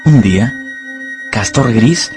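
A man narrating a dramatized radio novel as an expressive, deliberate storyteller. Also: medium pitch, over music.

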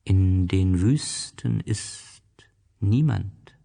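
Low husky storybook snake, acted by a man, enigmatic, hushed, measured, very slow. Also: big-room sound, exaggerated voice. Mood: calm, mysterious. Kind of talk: storybook character voice.

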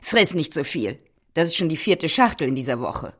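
A cold, scornful woman in a radio drama. Her voice is very low, and she is curt and biting, with lively turns of pitch. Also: normal speed, exaggerated voice. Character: cold, scornful woman living with an old singer. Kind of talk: German radio play acting.

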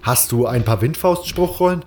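A man's voice: medium pitch, expressive, moderate pace, darkish tone, faint music behind, lightly acted. A man playing a stubborn, bold warrior hero in a fantasy audio drama, speaking firmly and curtly. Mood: commanding, impatient. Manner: firm, curt, commanding.

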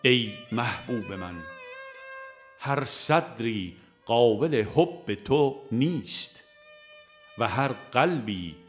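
Male audiobook narrator, mid-pitched, formal and measured devotional read with music underneath. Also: animated. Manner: formal, measured reading with deliberate pauses. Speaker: audiobook reader. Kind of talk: scripted religious audiobook narration.

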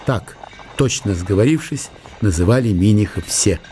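A man reading a literary historical story as a radio narrator, in measured short phrases. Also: lowish voice, lively intonation, over music. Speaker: narrator of a radio audio play.

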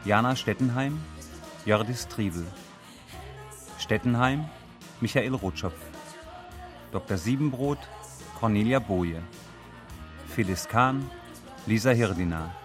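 A man reading the closing credits of a radio drama as an announcer, with deliberate, evenly spaced delivery. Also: lowish voice, lively intonation, music in the background. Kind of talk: scripted broadcast credits announcement.

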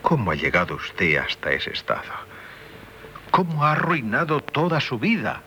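Bright-voiced, earnest courteous gentleman. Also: mid-range voice, wildly swooping pitch, normal speed, full character voice. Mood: earnest concern. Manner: formal, polite, earnest. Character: compassionate, courteous gentleman envoy, earnest and grave. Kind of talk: 1970s radio drama acting. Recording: noisy 1970s radio broadcast recording.

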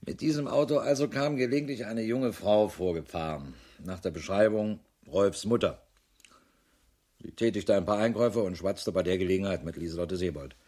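An actor playing a businesslike male police investigator in a radio crime drama, reporting in a matter-of-fact way with a husky voice. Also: low-pitched, warm tone. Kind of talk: German radio drama acting.

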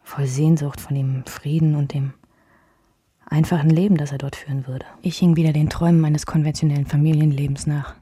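A woman playing a dreamy, longing memoirist in a radio drama, soft-spoken and breathy, fading toward a whisper. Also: sits low, flat delivery, brisk, dry recording, studio quality. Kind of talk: scripted radio drama narration.

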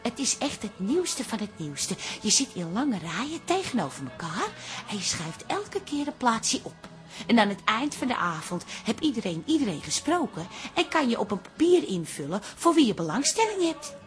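A cheerful barmaid in a radio comedy. Her voice is high and swings about animatedly as she chatters in a gossipy, colloquial way. Music plays behind her. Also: sing-song, moderate pace. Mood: explaining. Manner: bubbly, giggly, colloquial storytelling. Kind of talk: comic Dutch radio play acting.

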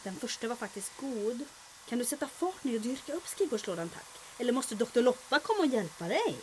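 An actress playing an impatient, commanding wartime resistance operative with a sarcastic edge, in a comic audio drama. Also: female voice, on the high side, very animated, a little hiss or hum, rough recording. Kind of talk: comedic audio drama acting.

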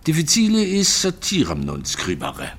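An actor in a radio crime drama playing an old East German scientist, sardonic and guarded, with a pedantic, wry manner. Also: male voice, on the high side, moderate pace, slightly rough, full character voice. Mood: lecturing, bitter. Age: older. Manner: pedantic, wry, animated with hushed asides.

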